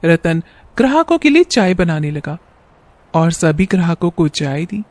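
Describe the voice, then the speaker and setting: A woman's voice: on the low side, big pitch swings, measured pace, a little mellow, no echo. A woman narrating a scripted moral story as a storyteller.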